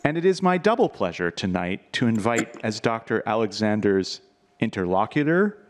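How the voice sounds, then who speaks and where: A man's voice: lowish voice, big pitch swings, moderate pace, slight background noise. A man giving a formal, polished introduction of speakers as host of a live event. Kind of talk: formal introduction, partly read.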